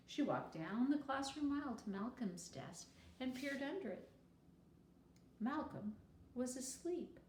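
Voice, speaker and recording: A woman reading a children's storybook aloud as expressive narration. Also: sits high. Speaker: read-aloud reader. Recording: roomy, distant, noisy home-style recording.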